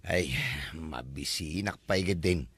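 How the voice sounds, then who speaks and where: A man's voice: extremely low pitch, slow pace, slightly rough, no room sound, studio quality, heavily acted. A man playing a nosy, teasing Filipino visitor with an Ilocano accent in a comedy drama.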